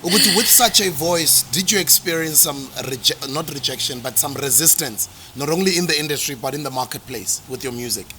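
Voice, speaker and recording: A man's voice: on the high side, animated, fairly quick, hardly any pauses, pushed and bright, a little hiss or hum. A man hosting a podcast, asking long earnest questions and correcting himself as he goes. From South Africa. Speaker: podcast host. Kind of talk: casual interview.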